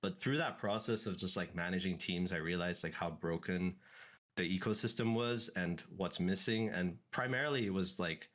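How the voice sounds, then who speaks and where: A man from Singapore with a notably low voice talks through his background in an easy, unhurried way. He circles back and restarts his thoughts often, reflective rather than polished. It sounds like a relaxed guest in a casual conversation. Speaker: esports team CEO, guest.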